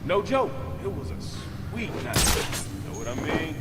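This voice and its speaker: Reverberant, higher-voiced crook. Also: male voice, sing-song, unhurried, heavy background noise. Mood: smug teasing. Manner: casual, smirking. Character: crude, sadistic, gossiping criminal henchman. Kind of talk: video game voice acting.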